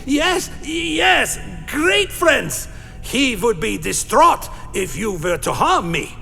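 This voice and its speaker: A man playing an anxious vampire who recounts his own dark tale in a dramatic radio play. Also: very high voice, sing-song, slow pace, creaky, soft background music, heavily acted. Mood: pleading. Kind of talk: first-person dramatic narration, radio play.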